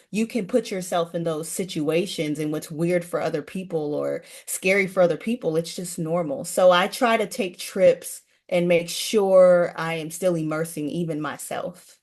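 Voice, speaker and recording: A woman guest running a language program, chatting earnestly in a casual interview. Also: lowish voice.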